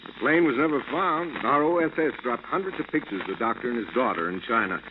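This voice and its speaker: Vintage radio lead: male actor as clipped American man of action, animated delivery, tinny noisy recording. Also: mid-range voice, fast, close to an everyday voice. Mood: intrigued. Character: cool, decisive American adventurer in command. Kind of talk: old-time radio adventure acting.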